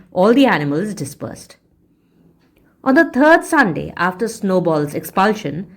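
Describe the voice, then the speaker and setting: A woman reading a story aloud as a teacher would, in an expressive, deliberate and emphatic read-along style. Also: fairly low pitch. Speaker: audiobook reader and English teacher.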